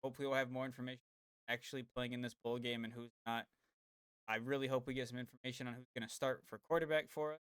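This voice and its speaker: A man's voice: medium pitch, fairly even intonation, fast talker, fairly bright tone, smooth, no echo. A man co-hosting a casual podcast, rambling and laid-back, swearing freely. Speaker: podcast co-host.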